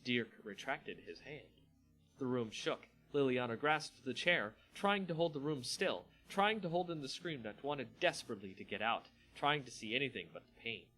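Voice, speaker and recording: A man reading a fantasy story aloud as a theatrical, deliberate dramatic narrator. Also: medium pitch, lively intonation, a bit bright, faint music behind, poor audio.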